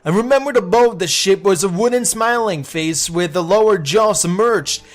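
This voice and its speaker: Uneasy man played by a male reader, slightly high voice, dramatic horror reading of forum memories, music bed. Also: normal speed, lightly acted. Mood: nostalgic dread. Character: uneasy man recalling a creepy childhood puppet show.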